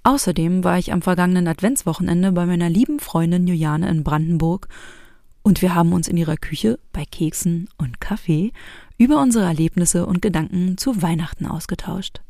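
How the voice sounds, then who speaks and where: A middle-aged woman from the former East Germany, hosting a podcast with spoken introductions and relaxed interviewing. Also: lowish voice, fairly quick, dry recording, clean studio sound. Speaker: podcast host.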